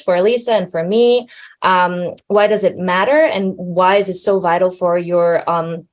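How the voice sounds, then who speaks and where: A young woman presenting as a guest speaker, earnest and fond of filler sounds. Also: fairly low pitch. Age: young adult. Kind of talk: presentation talk.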